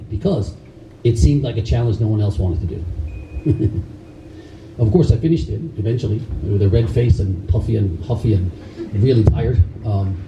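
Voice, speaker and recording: A man's voice: medium pitch, lo-fi sound, background noise. A man raised in Denmark, a karate instructor talking loosely to a group, full of fillers and restarts. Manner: loose, rambling delivery with frequent fillers and restarts. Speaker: karate instructor speaking at a camp. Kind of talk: talk to a seated group. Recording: roomy hall sound from a distance.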